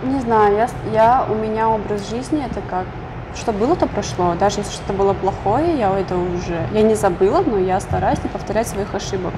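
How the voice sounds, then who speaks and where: A woman's voice: mid-range voice, brisk. A young woman from Uzbekistan, a guest in a casual interview, answering in an earnest, thoughtful way from a lounge. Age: young adult. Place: lounge with leather sofas and bookshelves, indoors. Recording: noisy room ambience.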